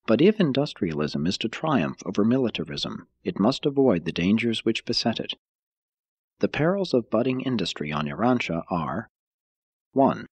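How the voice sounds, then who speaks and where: Male narrator, lowish husky voice, widely varied intonation, deliberate formal audiobook reading. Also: no room sound.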